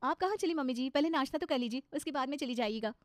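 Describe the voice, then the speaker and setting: A woman's voice: sits high, fast talker, dry recording, natural voice. A woman voicing an earnest, dutiful young daughter-in-law in a cartoon story, with an outspoken manner. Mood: eager, polite.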